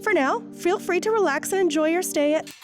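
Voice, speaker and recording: A woman's voice: extremely high pitch, brisk. A woman playing a chirpy, sinister announcer on a mental facility's orientation tape, with a polished, saccharine sales delivery. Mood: falsely cheerful. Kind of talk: scripted promotional video narration. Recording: music under the voice. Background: music.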